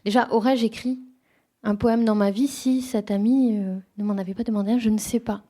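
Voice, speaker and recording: Woman poet with a warm, dark tone, reflective and halting at a bookshop talk. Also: fairly high pitch, fairly even intonation. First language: French. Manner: thoughtful, hesitant, many restarts. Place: bookshop event room.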